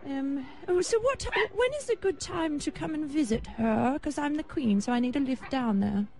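A woman's voice: sits high, expressive, moderate pace, mellow, pitched below the performer's own voice. A woman putting on an elderly Queen, a regal, doting grandmother with an affected posh British accent. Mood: imperious, fussy. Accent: mock upper-class British.

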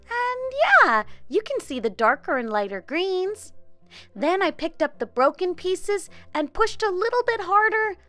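A woman in her thirties hosting a children's craft show, narrating an experiment in an expressive, child-friendly way. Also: high-pitched, no echo. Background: background music.

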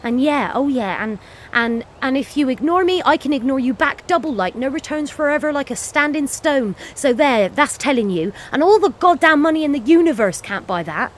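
A woman's voice: high voice, expressive, moderate pace. An actress playing a bitter, defiant working-class cleaner and self-styled wolf woman in an audio play monologue, with an earthy, sweary way of rambling.

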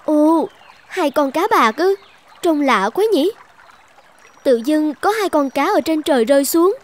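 A woman voicing a naive young daughter in an animated folktale. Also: sits high, a little slow. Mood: curious, delighted. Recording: music bed under the voice.

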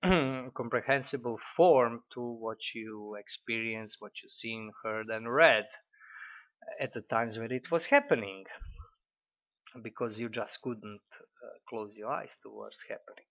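A man in his forties from Croatia with a Croatian accent, critiquing an article in halting speech with many fillers and restarts. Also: fairly low pitch. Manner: hesitant, frequent fillers and restarts. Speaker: commentator. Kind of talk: unscripted spoken critique. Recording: dull lo-fi audio.

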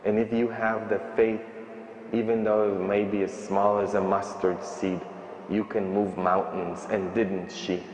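A man in his thirties preaching a sermon as a priest, slow and emphatic, in a large stone church at a stand microphone. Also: lowish voice, flat delivery, a little mellow. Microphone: stand mic at ambo. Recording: echoey church, distant dull sound.

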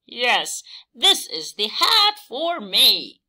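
A woman voicing an eager, choosy male horse in a children's storybook, with drawn-out, expressive delivery. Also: fairly high pitch, full character voice. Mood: proud.

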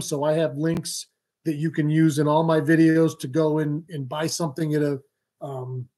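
New guy in his 30s on a webcam, bit higher voice, lots of ums while he explains stuff. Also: darkish tone. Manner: talks with his hands, many ums and restarts. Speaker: fishing show host. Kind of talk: casual live-stream explanation. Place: small room at home, indoors. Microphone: webcam or laptop mic, video call framing.

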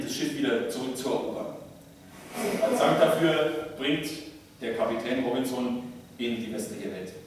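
A man preaching a sermon as a pastor, in measured, deliberate phrases with pauses. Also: mid-range voice. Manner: measured, deliberate, pausing between short phrases. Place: large church interior, speaking from a pulpit. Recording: echoey church, distant mic, faint noise.

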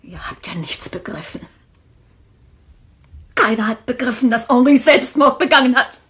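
An actress playing a distraught, stubborn young-widowed woman in a German thriller radio play, husky and breathy. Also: a little high, lively intonation, normal speed, a bit bright. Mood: agitated, insistent.

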